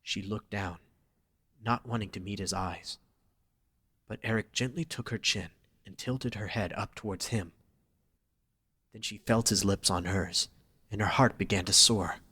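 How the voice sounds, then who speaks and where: Husky, forceful male story narrator. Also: on the low side, a little slow, long pauses, no room sound. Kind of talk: dramatic scripted story narration.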